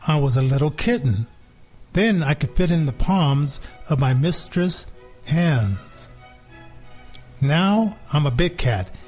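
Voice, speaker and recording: A man reading as sly storybook house cat, measured. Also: on the high side, darkish tone. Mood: contented. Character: sly, contented big house cat telling its own tale.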